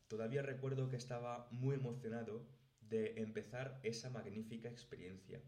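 A man's voice: lowish voice, a little mellow. A man in his late twenties with a Spanish accent from Spain, narrating a scripted podcast as a host and teacher. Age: late 20s. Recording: roomy, echoey sound.

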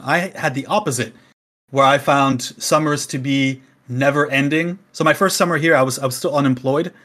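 A man from Canada with a Canadian accent, chatting easily as a podcast guest, laughing often and filling pauses with ums. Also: a little high, a bit bright. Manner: easygoing, chatty, laughs often, many fillers. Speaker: game company community staff guest. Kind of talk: casual podcast chat.

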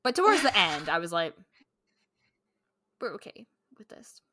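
A woman's voice: lowish voice, very animated, a little slow, very noisy. A woman co-hosting a podcast in a casual book chat, talking candidly with swearing and frequent self-interruption. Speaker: podcast co-host.

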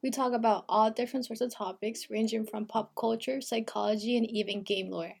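A woman's voice: on the high side, fairly even intonation, a little fast, creaky, darkish tone, breathy edge. An eighteen-year-old woman co-hosting a podcast, talking in a chatty, casual way with lots of "like" fillers. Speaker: podcast co-host, university freshman.

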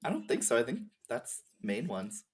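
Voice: mid-range voice, very animated, moderate pace, slightly rough. A podcast guest and streamer chatting casually in a friendly, gushing, slangy way. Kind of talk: casual chat.

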